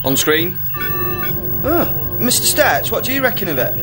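A man's voice: high-pitched, sing-song, normal speed. A man playing a cocky, crude, impulsive starship captain with a swaggering, blustering manner in a comedy radio play. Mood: bossy, flippant. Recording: music behind the voice.

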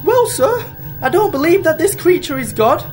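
Woman playing a very high-voiced, prim space officer. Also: very animated, normal speed, a little mellow, soft background music. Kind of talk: comedy sci-fi radio play acting.

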